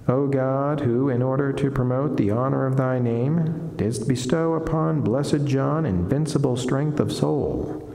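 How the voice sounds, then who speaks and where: A middle-aged man, a friar, giving a solemn devotional reading in a measured, deliberate, formal way from a lectern in a reverberant chapel. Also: mid-range voice, vocal fry, dark tone. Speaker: friar reading a saint's life and reflection. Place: wood-panelled chapel, indoors. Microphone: lectern gooseneck microphone. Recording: echoey chapel with long reverb.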